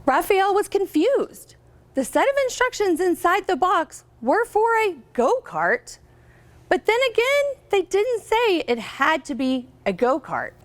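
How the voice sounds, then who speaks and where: A high-voiced American woman reads a picture book aloud to children. Her intonation is very lively, and she often turns to her young listeners with questions. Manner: expressive, pausing to ask listeners questions. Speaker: guest reader, company representative.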